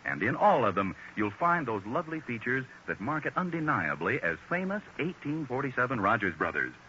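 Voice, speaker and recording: A man's voice: medium pitch, sing-song, fairly quick, sharp tone. An American man announcing a 1940s radio commercial with a polished salesman's delivery, on an old broadcast recording. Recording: muffled 1940s radio recording, faint noise.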